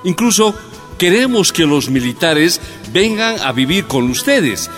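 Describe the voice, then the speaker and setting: Resolute general with swooping, raised pitch. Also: male voice, very animated, measured pace, fairly bright tone. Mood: solemn, reassuring. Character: sympathetic, resolute populist general and president. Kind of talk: radio drama acting. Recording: reverberant hall, faint music behind.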